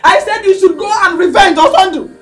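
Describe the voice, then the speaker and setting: A woman's voice: very high-pitched, a little fast. A woman playing a furious, scornful Nigerian wife who badgers her man in a heated film drama. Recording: distant mic, faint music underneath.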